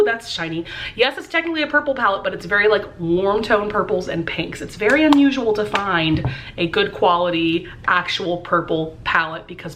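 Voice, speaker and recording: A woman's voice: mid-range voice, bright, forceful tone. Young female book vlogger, casual get-ready-with-me chat, bubbly and filler-heavy, mid-range voice. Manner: chatty and upbeat, many fillers like "um" and "like". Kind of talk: casual chat while doing makeup. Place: room at home lit by a lamp.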